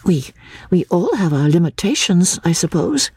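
Young society Englishwoman for a novel reading, voiced by a female narrator: earnest, gentle, lifted pitch, lively swoops. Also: moderate pace, breathy edge, full character voice. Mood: thoughtful.

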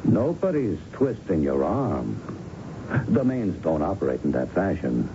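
A slick gangland persuader in a vintage radio play. His low voice swoops wildly as he wheedles like a streetwise salesman, on a dull old recording. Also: big pitch swings, measured pace, exaggerated voice. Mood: pushy, persuasive. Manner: wheedling, streetwise salesman patter with clipped phrases. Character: slick, pushy, smooth-talking male mobster go-between, persuasive. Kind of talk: 1970s radio drama acting. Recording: dull, lightly noisy 1970s radio recording.

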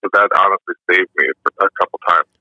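A man with a low voice, giving easygoing, rambling interview answers full of fillers. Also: quick pace, no echo, soft background music. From New York. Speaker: musician guest. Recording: dull lo-fi dry sound.

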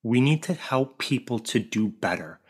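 Male grief coach, podcast guest, mid-pitched, easygoing storyteller who laughs easily. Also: expressive, normal speed, husky. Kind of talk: casual podcast interview.

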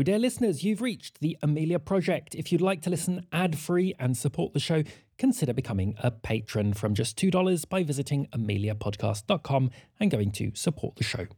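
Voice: mid-range voice, dark tone, husky, dry recording, clean studio sound. A podcast announcer reading a scripted introduction to listeners.

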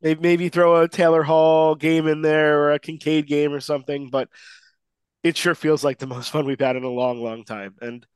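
Hoarse nasal guy on a hockey podcast, bit higher voice, dry close mic. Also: flat delivery, moderate pace, no echo. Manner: emphatic, rambling restarts. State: losing his voice, a little nasal. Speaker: podcast co-host. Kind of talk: excited sports podcast chat.

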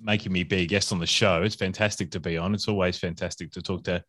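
A man's voice: low-pitched, fairly even intonation, fast talker, fairly bright tone. A man with an Australian accent, a podcast guest talking casually and upbeat, with lots of fillers and restarts. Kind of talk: casual conversational interview.

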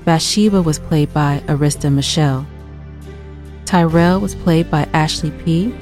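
A woman reading the closing credits of an audio drama clearly and briskly. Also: low voice, a little mellow, faint music behind. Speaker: credits announcer. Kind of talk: scripted announcement.

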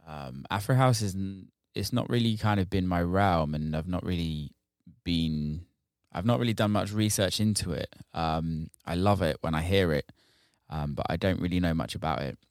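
A man's voice: low voice, normal speed, no echo, clean studio sound. A man hosting a podcast, chatting casually with lots of fillers. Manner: friendly, filler-heavy, says "man" and "yeah" a lot. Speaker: podcast host. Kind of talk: casual interview chat.

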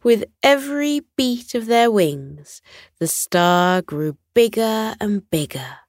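Unhurried woman reading a children's bedtime story. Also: medium pitch, expressive, dry recording, studio quality.

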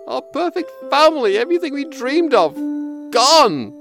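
A man's voice: very high-pitched, big pitch swings, moderate pace, creaky, over music, full character voice. A man playing a grieving, reflective elderly widower in an audio drama, speaking in a weary, wistful way. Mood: grieving, anguished. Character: grieving elderly widower looking back on his life, weary. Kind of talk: audio drama acting with monologue.

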